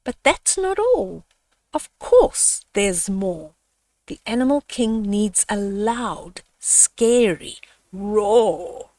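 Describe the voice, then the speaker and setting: A woman voicing a wise old owl in a children's storybook, lecturing the animals in a measured, authoritative way. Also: medium pitch, big pitch swings, a little mellow, no room sound, recorded from a distance. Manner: measured, instructive, rhetorical. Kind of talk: children's storybook read-aloud.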